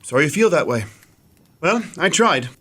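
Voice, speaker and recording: An actor playing a good-hearted, cheerful nephew in a radio drama, earnest and pleading. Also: male voice, a little high, big pitch swings, normal speed, pushed and bright, heavily acted.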